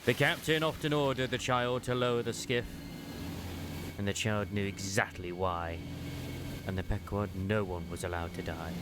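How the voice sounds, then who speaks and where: A man's voice: fairly low pitch, background noise. A man giving a dramatic, scripted narration of a story as a fan dub. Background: music.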